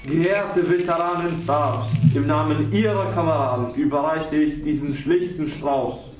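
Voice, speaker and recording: A man's voice: fairly high pitch, unhurried, natural voice. A man playing a veteran who gives a formal, solemn, reverent tribute speech in a music theatre piece. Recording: roomy, distant mic with clear hiss.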